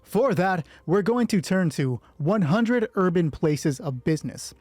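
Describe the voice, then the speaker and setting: A man narrating a solo tabletop roleplay as host, moving between measured storytelling and casual asides. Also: high-pitched, lively intonation, darkish tone, no echo.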